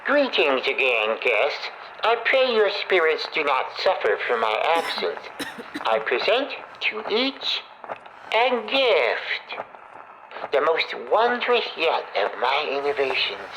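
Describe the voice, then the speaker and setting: An actor playing a pompous, falsely gracious zealot inventor in a video game, preaching grandly to noble guests in archaic thee-and-thy speech. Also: male voice, on the high side, huge pitch swings, takes it slowly, pushed and bright, full character voice. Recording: dull, noisy, radio-like playback of a recorded message.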